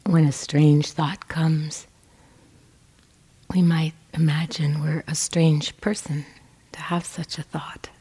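A woman with a low, mellow voice leads a guided meditation. She speaks very slowly, in gentle phrases separated by pauses, like a teacher giving measured instruction. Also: level tone, breathy edge.

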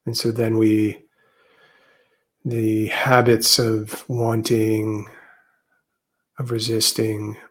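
A man leading a guided meditation as a teacher, speaking gently and unhurriedly with long pauses. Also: fairly low pitch, completely flat delivery.

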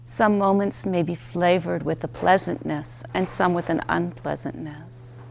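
A woman leads a guided meditation as a teacher, very slowly and in short, gentle phrases. Her voice sits on the low side with a creaky, fry-edged texture. A faint noise and hum sit behind her. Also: fairly even intonation, rough recording. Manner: unhurried, gentle instruction with short spaced phrases.

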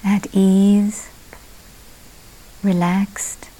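Slow, mellow woman guiding meditation. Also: mid-range voice, flat delivery, rough recording. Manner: sparse unhurried phrases with soft, breathy endings. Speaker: meditation teacher. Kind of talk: slow, calm guided meditation.

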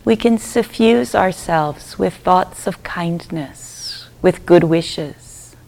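A woman leads a guided meditation in a soft, fairly low voice with plenty of creak in it. She speaks very slowly and gently, leaving long pauses between short phrases, and her endings trail into breathiness. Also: slight background noise, poor audio. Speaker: meditation teacher leading a group. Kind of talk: guided loving-kindness meditation.

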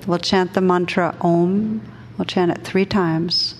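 A woman meditation teacher leading a guided meditation for a group, speaking gently and unhurriedly with long pauses between phrases. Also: on the low side, steady tone, slight background noise.

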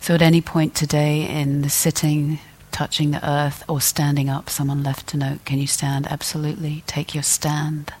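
A woman teacher leading a guided meditation in a gentle, deliberate way, leaving long pauses between phrases. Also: sits low, flat delivery, breathy edge.

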